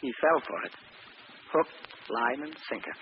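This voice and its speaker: A man's voice: medium pitch, lively intonation, lightly acted. A man acting a scheming, persuasive scientist who speaks formally and precisely in a 1940s radio drama. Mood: gloating. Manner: formal, measured, instructing. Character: smooth-talking, scheming male scientist, controlling and persuasive. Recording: muffled, noisy old radio broadcast.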